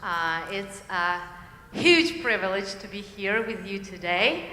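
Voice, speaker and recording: Slow-speaking Ukrainian-accented woman in a church hall. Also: mid-range voice, animated, sharp tone, a little hiss or hum. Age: 40s. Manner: halting, with many fillers. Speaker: guest singer. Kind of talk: church testimony and introduction. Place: church sanctuary. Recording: echoey church hall with music.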